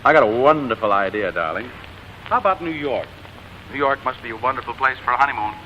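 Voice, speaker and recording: A dull, faintly hissy vintage radio recording carries an actor as a smooth-talking male sweetheart. His medium-pitched voice jumps around excitably as he wheedles earnestly, in 1940s romantic comedy style. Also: fast, natural voice. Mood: coaxing.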